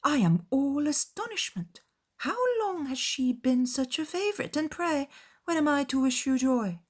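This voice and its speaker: A woman's voice: high voice, animated, moderate pace, pitched above the performer's own voice. A woman voicing a haughty, gossipy young society lady, arch and disdainful, in an audiobook drama. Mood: mocking astonishment.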